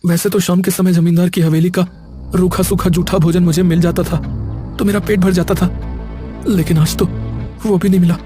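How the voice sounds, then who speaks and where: Man as a high-voiced poor shepherd. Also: flat delivery, normal speed, over music, lightly acted. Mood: dejected. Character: poor humble shepherd man, anxious, pleading, tender-hearted. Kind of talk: Hindi animated moral story dialogue.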